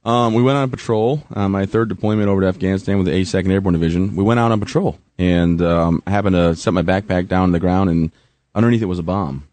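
A man's voice: low voice, fast talker, a little mellow, no echo. A man in his thirties, a veteran guest telling his story with self-mocking humor. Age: 30s. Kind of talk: joking then earnest interview talk.

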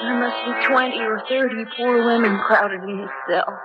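A woman's voice: on the high side, fairly even intonation, normal speed, lightly acted. An actress playing a frightened young female student prisoner, anxious and pleading, in a radio drama. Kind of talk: 1970s radio drama acting. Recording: dull lo-fi radio broadcast, music behind.

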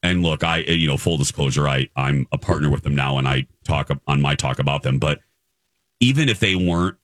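A man's voice: a bass voice, fairly quick, no room sound, clean studio sound. A man hosting a radio show, chatting in a chummy, enthusiastic way with a guest. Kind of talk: upbeat radio interview and chat.

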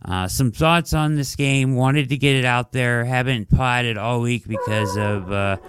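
A man's voice: mid-range voice, a little slow, fairly bright tone, clean tone, no echo, studio quality. A man hosting a casual sports podcast who is losing his voice, talking through his analysis with lots of "like" and "right?". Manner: conversational, fillers like "like" and "right?". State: losing his voice, dry throat. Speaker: podcast host.

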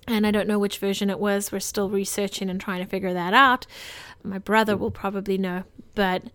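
A woman's voice: mid-range voice, fairly even intonation, brisk, fairly bright tone, clear, resonant tone, no room sound. A woman co-hosting a film podcast, talking casually and informally with conversational asides. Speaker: podcast co-host.

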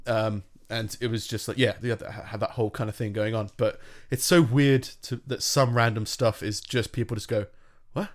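A man's voice: on the low side, fairly even intonation, moderate pace, few pauses. A man co-hosting a podcast, thinking aloud in a casual chat, with frequent restarts. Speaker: podcast co-host.

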